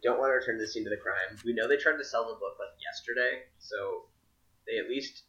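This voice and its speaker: A man's voice: mid-range voice, fairly quick, sharp tone, lo-fi sound. A man playing a tabletop game with friends, laid-back and musing. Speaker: player. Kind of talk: casual tabletop game chat.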